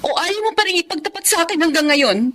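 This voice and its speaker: A woman's voice: extremely high pitch, expressive, fast talker, fairly bright tone. An actress playing a hurt, withdrawn young girlfriend who holds back, in a radio drama. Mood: accusing, hurt.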